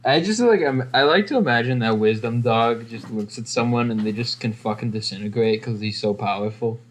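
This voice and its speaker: A man's voice: medium pitch, fairly quick. A young man co-hosting and editing a podcast, swearing often in exasperated jokes. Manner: profane, exasperated joking. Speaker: podcast co-host and editor. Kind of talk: casual chat.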